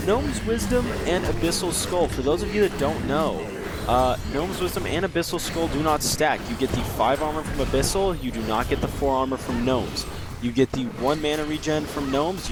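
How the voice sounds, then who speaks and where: A man's voice: mid-range voice, moderate pace, loud music behind the voice. A man giving live play-by-play commentary on a video game match, joking and teasing his co-caster. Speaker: esports caster.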